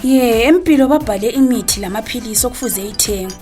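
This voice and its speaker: A woman playing a quick, reproachful Zimbabwean wife. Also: a little high, music in the background, lightly acted. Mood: weary, reproachful. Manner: probing, reproachful questioning. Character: wronged, confronting wife and mother, fed up. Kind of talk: naturalistic radio drama acting.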